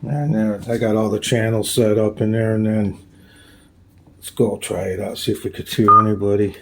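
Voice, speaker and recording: A man hosting a casual off-the-cuff hobby tour at home, talking in a halting, plain-spoken, rambling way. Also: fairly low pitch, steady tone, creaky, noisy background. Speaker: hobbyist host giving a radio gear tour. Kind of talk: casual off-the-cuff show-and-tell vlog. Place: small room at home.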